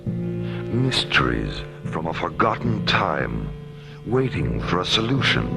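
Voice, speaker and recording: An actor playing a solemn, portentous narrator of a science fiction radio drama, with grave, drawn-out phrasing. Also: male voice, sits low, gravelly, exaggerated voice.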